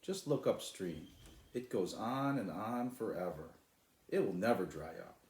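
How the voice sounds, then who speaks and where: A man voicing an excitable, dreamy young boy in a children's storybook, exclaiming eagerly. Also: sits low, measured pace, roomy sound. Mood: insistent. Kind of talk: children's storybook read aloud.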